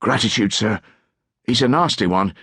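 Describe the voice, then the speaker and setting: A man's voice: fairly low pitch, expressive, moderate pace, fairly bright tone, husky, no room sound, studio quality, voice pushed up, exaggerated voice. A man voicing a starving young street urchin, pleading and humbly grateful, in a historical audiobook. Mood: grateful, timid. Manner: pleading, deferential.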